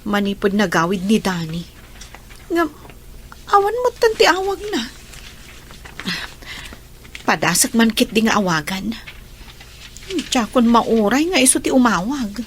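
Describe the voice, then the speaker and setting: A woman's voice: fairly high pitch, big pitch swings, a little slow, long pauses, slight background noise. A woman acting an anxious, emotional young lover in a radio drama, with an Ilocano accent. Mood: worried. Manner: emotional, plaintive. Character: Lilia, anxious, heartbroken young pregnant woman, betrayed lover.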